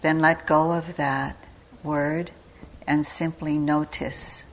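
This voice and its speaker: A low-pitched woman leads a guided meditation at a very slow pace. She speaks calmly in short, measured phrases with long pauses between them, and her intonation stays very flat. She is a teacher giving step-by-step instruction. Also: a little hiss or hum. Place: meditation retreat hall.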